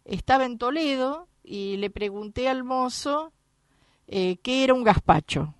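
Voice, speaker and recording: A woman's voice: on the high side, lively intonation, a little slow, no room sound, studio quality. A woman from Argentina with a Rioplatense accent, hosting a radio chat and telling anecdotes in a chatty way. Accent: Argentine Rioplatense Spanish. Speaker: radio host.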